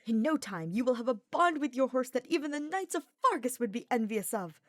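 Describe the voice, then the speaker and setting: A woman's voice: fairly high pitch, very animated, a little fast, dry recording, studio quality, voice pushed up. A woman voicing an earnest, cheerful young nobleman knight in an audiobook, with florid and formal phrasing. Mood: encouraging. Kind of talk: fan fiction audiobook character voice.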